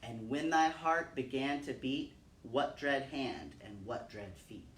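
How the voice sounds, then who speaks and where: A man's voice: mid-range voice, animated, slow, a bit bright. A man hosting a storytime, reading poems aloud and talking to children. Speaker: storytime host. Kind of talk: poetry reading and talk for children. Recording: roomy, distant mic, faint noise.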